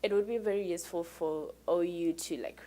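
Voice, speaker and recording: Low-voiced earnest woman student. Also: brisk, warm tone. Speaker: international student interviewee. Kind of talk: interview answers.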